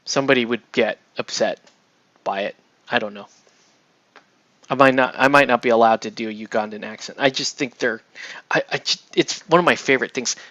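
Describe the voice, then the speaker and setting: A man chatting casually on a livestream while he paints miniatures, rambling and excitable, often breaking off mid-sentence. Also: medium pitch, fairly quick, pauses often, no room sound, lo-fi sound. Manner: rambling, excitable, self-interrupting with laughs.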